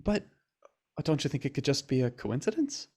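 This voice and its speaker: A man's voice: mid-range voice, sing-song, darkish tone. Awkward, earnest young man. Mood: careful, supportive. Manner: hesitant, halting, fumbling for words. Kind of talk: naturalistic audio drama acting.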